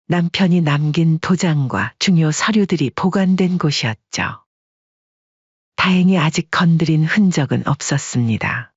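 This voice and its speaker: A narrator reading a family drama in calm, measured scripted narration. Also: medium pitch, breathy edge, no room sound, lo-fi sound.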